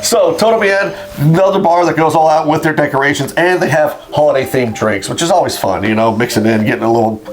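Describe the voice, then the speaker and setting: A man's voice: on the high side, fast, hardly any pauses, low-quality recording. A man co-hosting a casual chat, teasing his partner and rambling through jokes. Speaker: podcast co-host, title company rep.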